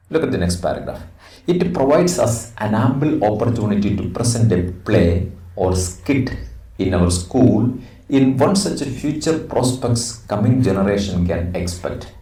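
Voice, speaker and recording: A man in his forties with an Indian accent, teaching and reading material aloud in deliberate short phrases, like a school teacher. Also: on the low side, mellow. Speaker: English teacher. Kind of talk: instructional lesson, reading slides aloud. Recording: roomy echo, distant microphone.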